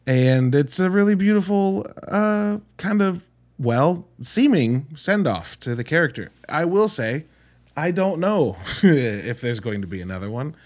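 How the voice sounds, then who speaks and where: A man's voice: fairly high pitch, big pitch swings, measured pace, a little mellow. A man hosting a podcast, giving a casual, conversational solo film review in a blunt, casually profane way with rhetorical asides. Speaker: podcast host reviewing films.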